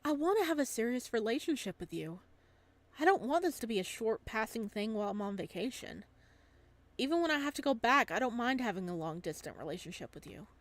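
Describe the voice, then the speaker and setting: A woman's voice: on the high side, very animated, fairly quick, no echo. A shy young man in a visual novel, read out by a woman, hopelessly smitten. He speaks earnestly and gets flustered, tripping over his words. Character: shy, lovestruck college-age young man on summer vacation.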